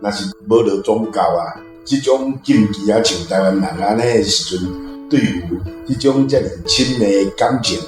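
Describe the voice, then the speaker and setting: A Taiwanese man asking questions in a casual book club discussion, phrasing them haltingly. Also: on the low side, reverberant, music in the background.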